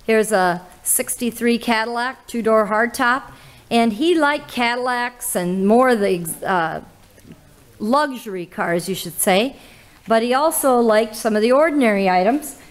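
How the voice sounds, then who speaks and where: A woman, hosting a casual auction walkthrough in an old barn full of cars, listing items with little "uh" pauses. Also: fairly high pitch. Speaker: auctioneer host giving a car walkthrough. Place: inside old barns and sheds full of cars.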